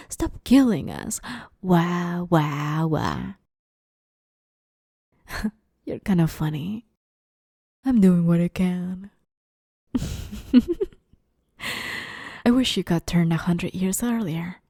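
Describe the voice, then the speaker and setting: A woman voicing a playful, sadistic vampire in an ASMR roleplay, teasing her captive with mock sweetness and quiet menace. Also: fairly low pitch, slightly rough, no room sound, clean studio sound, full character voice. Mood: mocking, amused. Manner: teasing, mockingly sweet, menacing, often hushed. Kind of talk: ASMR roleplay, dramatic monologue.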